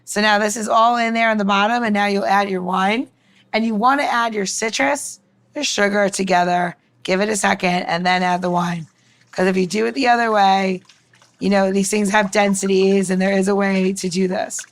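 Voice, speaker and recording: Woman bartender talking thru a recipe step by step, chatty n informal, middle pitch. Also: steady tone, moderate pace. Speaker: mixologist demonstrating a recipe. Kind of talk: casual cooking-style demonstration.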